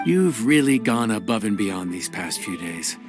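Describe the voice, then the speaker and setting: A man's voice: lowish voice, big pitch swings, unhurried, music in the background. A man playing an easygoing, practical inn cook in a video game. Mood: appreciative.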